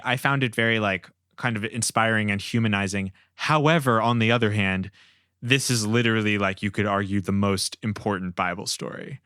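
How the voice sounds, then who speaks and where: A man's voice: fairly low pitch, sharp tone, clean tone, no room sound, clean studio sound. A man hosting a podcast in a conversational, discursive style. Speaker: podcast host.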